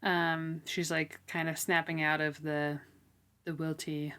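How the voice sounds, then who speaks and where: Casual woman podcaster with a lowish voice. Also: little pitch movement, takes it slowly, sharp tone, smooth. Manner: informal, frequent "like" as filler. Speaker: podcast co-host. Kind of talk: casual book discussion chat.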